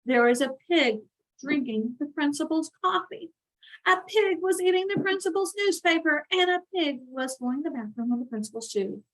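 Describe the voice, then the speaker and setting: A woman with a high voice hosts a read-aloud for children. She tells the story expressively and in an animated way, turning to address her young listeners directly. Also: normal speed, low-quality recording. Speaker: read-aloud channel host.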